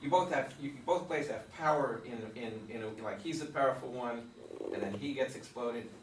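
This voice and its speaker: A man's voice: medium pitch, moderate pace, a little hiss or hum. A man giving rambling, thoughtful feedback on a play in a casual group discussion, with many restarts. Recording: roomy and distant.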